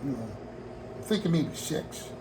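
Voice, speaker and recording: Man, sixties, slightly high voice, rambling heartfelt home vlog, air conditioner hum behind. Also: animated, unhurried, darkish tone. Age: 60s. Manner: meandering, heartfelt, with frequent restarts and trailing asides. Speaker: hobby vlogger. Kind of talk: rambling casual talk to camera. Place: home hobby room.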